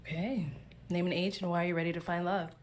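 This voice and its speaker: A woman's voice: lowish voice, level tone, fast talker, a little hiss or hum. A woman working as a dating show host, prompting contestants with short questions.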